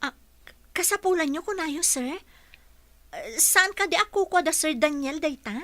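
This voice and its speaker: A woman's voice: high voice, lively intonation, moderate pace, bright, forceful tone, dry recording. A woman playing a polite, eager young job-seeker in an amateur radio-style drama. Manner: polite, says sir often.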